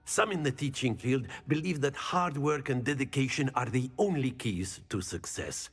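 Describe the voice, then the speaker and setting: A man's voice: medium pitch, moderate pace, husky, soft background music. A man playing a cheerful, chatty professor at a school of magic in a video game, who values fun and play. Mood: upbeat, musing. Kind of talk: scripted game dialogue.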